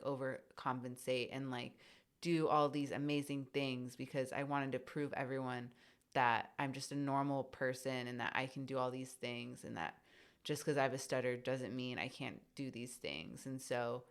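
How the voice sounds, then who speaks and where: Deep-voiced woman hosting a chat podcast, stutters a bit, lots of "like". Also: a bit bright, smooth. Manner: stutters, with many filler "like"s. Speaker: podcast host. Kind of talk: casual podcast conversation.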